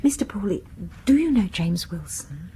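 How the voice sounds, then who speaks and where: An actress in a British radio horror drama playing a timid, genteel, dreamy music lover, with a British accent and a hesitant, demure, confiding manner. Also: female voice, lowish voice, very animated, moderate pace, mellow, slight background noise, poor audio. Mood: flustered, earnest.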